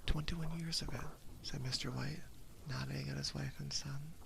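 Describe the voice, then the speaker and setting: Male ASMR storyteller: close-miked, breathy half-whisper, strong vocal fry, subdued intonation. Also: mid-range voice, fairly even intonation, moderate pace, noisy background. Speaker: ASMR streamer reading a story aloud. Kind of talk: ASMR reading of a classic horror short story. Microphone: binaural ASMR microphone, very close.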